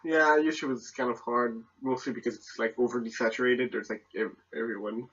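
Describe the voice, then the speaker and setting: A young man chats casually on a podcast over dull, lo-fi audio. His voice is bright and forward, and he talks hesitantly, repeating words as he goes. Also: medium pitch, steady tone, fairly quick, slightly rough. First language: Spanish and French. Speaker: podcast guest.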